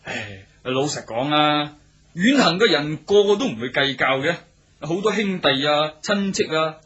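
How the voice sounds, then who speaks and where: A talkative, obliging innkeeper in a Hong Kong Cantonese radio drama. His voice sits fairly high and has a very bright, forward edge. He is deferential and eager to serve, and the recording is slightly dull. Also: expressive, a little slow. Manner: deferential, chatty, with many hesitant interjections.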